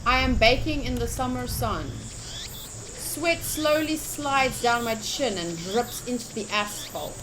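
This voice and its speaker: A woman with a fairly high voice hosts a casual game livestream. She takes her time, switching between chat and reading aloud with expressive enthusiasm, over noticeable background noise. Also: measured pace. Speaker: game streamer. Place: livestream setup.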